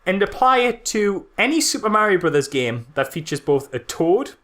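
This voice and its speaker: Male podcast co-host, high voice, swooping incredulous delivery, rambling casual film critique. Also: very animated, normal speed, fairly bright tone.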